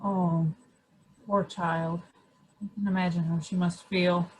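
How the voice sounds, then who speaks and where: A woman's voice: lowish voice, little pitch movement, takes it slowly, a little mellow, slight background noise, rough recording. A woman playing a kindly, concerned male next-door neighbour in a radio drama, speaking earnestly and with sympathy. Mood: sympathetic.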